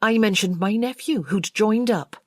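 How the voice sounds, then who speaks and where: A woman's voice: medium pitch, measured pace, no room sound, studio quality, voice pushed up, close to an everyday voice. A woman voicing a gossipy, opinionated servant woman with colloquial speech in an audiobook.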